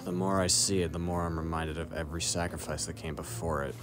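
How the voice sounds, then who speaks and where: A man's voice: a bass voice, level tone, fast talker, music in the background. A man playing a guarded, battle-hardened syndicate operative in an audio roleplay, speaking in a dry, clipped, matter-of-fact way. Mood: grave, resolute. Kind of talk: dramatic audio roleplay acting.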